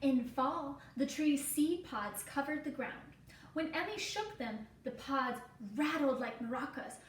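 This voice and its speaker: A woman teacher reading a children's story aloud deliberately, with simple explanatory asides. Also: high voice, lively intonation. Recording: roomy echo, distant mic, faint noise.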